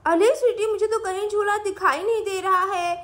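A woman voicing an eager, impatient little party guest, a girl, with a squeaky childlike voice in a doll story. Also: steady tone, moderate pace, fairly bright tone.

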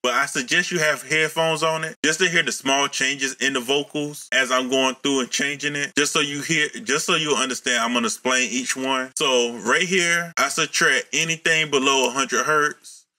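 Guy in his 30s walking thru his mix settings, real bright voice, chill and explaining, home studio mic. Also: medium pitch, rough recording. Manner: relaxed, explanatory, slows down reading settings. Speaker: music producer teaching vocal mixing. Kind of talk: casual tutorial walkthrough. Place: home room. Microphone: studio condenser mic on boom, headphones on.

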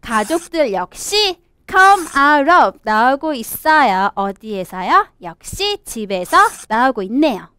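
A young woman presenting a children's storybook English lesson, reading aloud and explaining in an expressive, sing-song teacher's manner. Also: fairly high pitch, slow, hardly any pauses, clear, resonant tone, no room sound. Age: young adult. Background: background music.

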